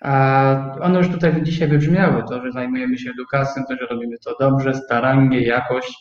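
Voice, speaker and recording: A man explaining his own business as an interview guest, in a halting, careful way. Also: medium pitch, fairly even intonation. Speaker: guest, start-up co-owner. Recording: roomy, slightly dull sound.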